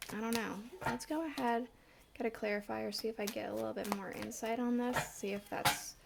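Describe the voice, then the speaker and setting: A woman's voice: fairly high pitch, vocal fry, background noise. Congested woman reading tarot. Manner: relaxed, chatty, many fillers. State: congested, slight cough; a bit winded. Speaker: tarot reader.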